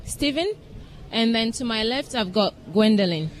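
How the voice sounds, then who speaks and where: Ghanaian woman, twenties, higher pitch, radio interview guest, on location, faint ambient noise. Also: lively intonation, moderate pace. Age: 20s. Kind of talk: spoken radio interview answers. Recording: ambient event noise behind voice.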